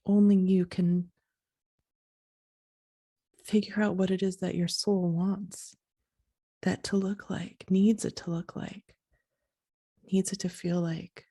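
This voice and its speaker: A woman hosting a podcast in a soft, sleepy voice, talking in a slow, halting, reflective way. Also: fairly low pitch, little pitch movement. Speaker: podcast host.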